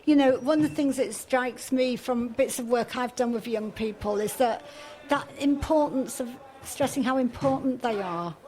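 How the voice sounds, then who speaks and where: A woman's voice: a little high, moderate pace, darkish tone. A woman hosting a radio interview, conversational and encouraging towards the people she is talking with. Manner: encouraging, appreciative.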